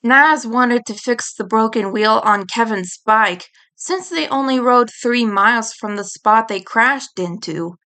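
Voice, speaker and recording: Bright-voiced woman reading a story. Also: fairly high pitch, a little slow, hardly any pauses. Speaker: audiobook reader. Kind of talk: scripted fan fiction narration.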